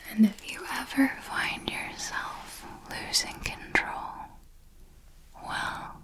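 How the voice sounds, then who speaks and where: A woman whispering an ASMR roleplay as a darkly elegant, possessive vampire mentor, telling it in a deliberate, theatrical way. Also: fairly high pitch, fairly bright tone, low-quality recording. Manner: slow, deliberate, theatrical storytelling.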